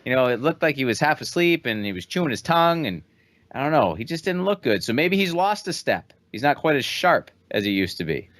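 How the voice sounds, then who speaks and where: A man's voice: mid-range voice, big pitch swings, fairly quick, a bit bright, dry recording. A man in his thirties co-hosting a podcast in casual, conversational political commentary. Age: 30s. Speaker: podcast co-host.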